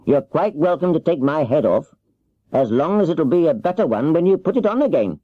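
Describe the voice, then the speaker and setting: A man voicing a gentle, simple, earnest scarecrow in a storybook reading. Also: a little high, very animated, no room sound, voice pushed up. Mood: uneasy, willing. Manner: slow, earnest, slightly uneasy. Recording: dull, lo-fi cassette transfer.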